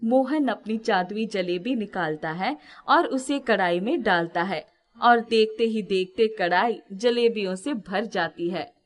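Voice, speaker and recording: Female storybook narrator, medium pitch. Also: measured pace.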